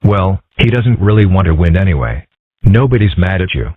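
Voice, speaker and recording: A man voicing a calm, wry young fox boy in a comic dub. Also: low-pitched, moderate pace, no room sound. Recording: tinny, phone-like, dry.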